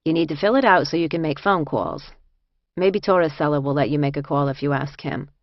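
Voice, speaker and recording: A woman's voice: low-pitched, brisk, dry recording, dull audio. A woman voicing a tired, helpful fifty-ish female inmate with a New Jersey accent, matter-of-fact, in audiobook dialogue.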